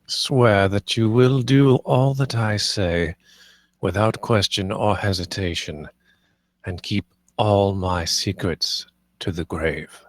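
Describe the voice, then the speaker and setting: A stern master of a household, acted by a man at a table read. His voice is low and close, with no room around it. He gives orders formally and curtly, expecting obedience. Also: slow, dry recording. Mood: demanding, solemn. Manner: commanding, clipped, formal. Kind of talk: dramatic script table read.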